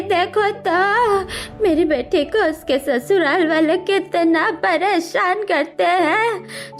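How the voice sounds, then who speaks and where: A woman's voice: very high-pitched, heavily acted. A woman voicing a doting, indignant elderly mother in a cartoon story, fussing and commanding. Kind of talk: animated cartoon story acting.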